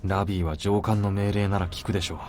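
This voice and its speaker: Man as an earnest, rapid-fire male soldier. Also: low-pitched, fairly even intonation, a little mellow, close to an everyday voice. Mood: insistent. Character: earnest male soldier, politely challenging his superior. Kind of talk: Japanese visual novel voice acting. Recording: game music under the voice.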